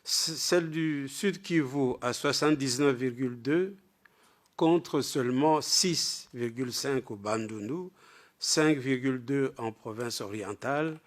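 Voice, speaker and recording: A male lecturer with a medium-pitched voice presents a prepared academic text. His delivery is formal and structured. He puts firm emphasis on the words that open his sentences.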